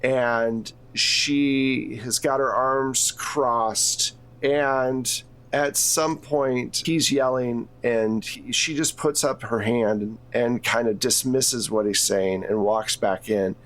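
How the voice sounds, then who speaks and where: A man's voice: medium pitch, steady tone, slow, fairly bright tone. Male game master, mid-range voice, conversational and explanatory, improvising scene narration for a tabletop game.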